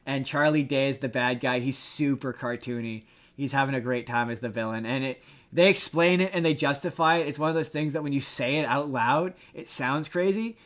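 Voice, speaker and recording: A man talks through a film in a loose, conversational podcast style. His delivery is slangy and rambling, often doubling back on words, at an ordinary middle pitch. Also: fairly bright tone. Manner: informal, slangy, halting and rambling explanation with repeated words. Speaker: podcast co-host. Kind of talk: casual movie review chat.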